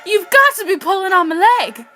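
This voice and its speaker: Woman playing a high-pitched, brash radio-drama housewife. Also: lively intonation, normal speed, bright, forceful tone, heavily acted. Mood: upset, defensive. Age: teens. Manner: theatrical, slangy. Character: brash, defensive gossiping housewife with a secret. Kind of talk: 1940s radio drama acting. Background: music.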